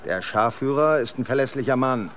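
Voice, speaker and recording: An actor playing a gruff, impatient paramilitary commander in a radio play, curt and pompous. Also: male voice, mid-range voice, animated, fairly quick, a bit bright, a little hiss or hum, close to an everyday voice. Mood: irritated, dismissive.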